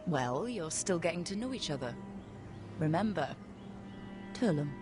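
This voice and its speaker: A woman's voice: lowish voice, animated, unhurried, over music. An actress playing a resolute, wry and plain-spoken female adventuring leader in a video game. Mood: light, encouraging. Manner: direct, wry, down-to-earth. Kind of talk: video game cinematic acting.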